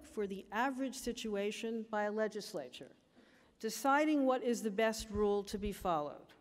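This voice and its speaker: An older American woman giving a formal graduation speech at a lectern, with a measured, emphatic delivery. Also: a little high, in a large hall. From United States. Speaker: commencement speaker. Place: large auditorium stage at a lectern. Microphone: lectern microphone.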